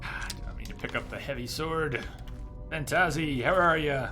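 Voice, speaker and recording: A man's voice: on the high side, moderate pace, sharp tone. A man livestreaming a video game, chatting casually and thinking aloud as he comments on his play. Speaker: livestream gamer. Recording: game music behind the voice. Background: video game music.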